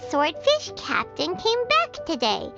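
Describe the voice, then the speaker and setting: A tiny childlike fairy sidekick from a video game, extremely high and squeaky. Her delivery is chirpy, earnest and very lively, taken at an unhurried pace. Loud music plays underneath. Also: creaky, highs cut off, exaggerated voice. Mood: eager, curious. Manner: exclamatory, refers to self in third person. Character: small excitable childlike fairy companion, female, chirpy and earnest. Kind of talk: animated video game voice acting.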